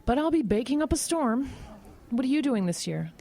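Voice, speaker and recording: A friendly woman co-worker in a radio play. Her voice is fairly high and swings expressively. She is chatty and full of curiosity. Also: sing-song, moderate pace, mellow, exaggerated voice. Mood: cheerful. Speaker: supporting actress. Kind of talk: audio drama dialogue.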